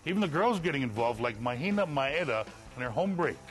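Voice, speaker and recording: Middle aged guy doing tv sports anchor read, normal pitch, bit of music behind. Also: very animated, brisk. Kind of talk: scripted sports news narration. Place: TV news studio.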